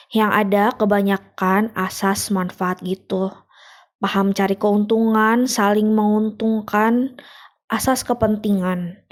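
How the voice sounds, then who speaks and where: A woman's voice: medium pitch, darkish tone. A young woman with an Indonesian accent giving a casual spoken devotional, chatty and laughing often, asking her listeners questions. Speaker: devotional speaker.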